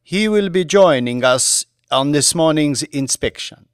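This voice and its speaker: A man's voice: on the high side, expressive, unhurried, smooth, no room sound, clean studio sound, voice pushed up. A man playing a gangly young construction boss, formal and polite, in an audiobook. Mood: formal, welcoming.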